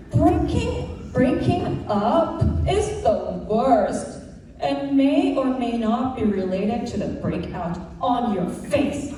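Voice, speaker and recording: Playful woman, slow and lively. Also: a little high. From Hong Kong. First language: Cantonese. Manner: playful, deliberate, with comic timing. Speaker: middle school Mandarin teacher. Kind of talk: humorous school assembly speech. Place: large school hall. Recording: echoey hall from far off with faint room noise.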